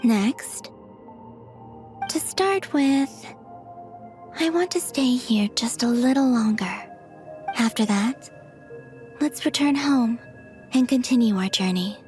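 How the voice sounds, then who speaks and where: Serene young moon goddess, fairly high soft breathy voice, tender and earnest, game cutscene with music underneath. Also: female voice, lively intonation, slow, long pauses. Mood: wistful, hopeful. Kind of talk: video game cutscene acting.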